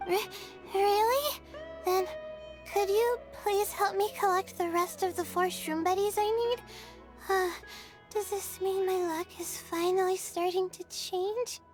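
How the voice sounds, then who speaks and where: A woman voicing a timid, drowsy young female student in a video game, soft, girlish, hesitant and self-effacing. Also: very high-pitched, measured pace, faint music behind. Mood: hopeful.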